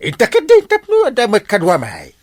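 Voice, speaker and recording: A man's voice: high-pitched, wildly swooping pitch, a little fast, full character voice. A man with an Ilocano accent playing a boisterous, stubborn and proud village captain in a radio comedy drama. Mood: coaxing.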